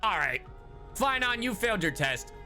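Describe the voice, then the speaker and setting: A male game streamer with a bright, forceful voice that leaps around wildly. He banters casually, playful and irreverent, and swears freely. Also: high-pitched, big pitch swings, normal speed, soft background music. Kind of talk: casual livestream commentary and banter.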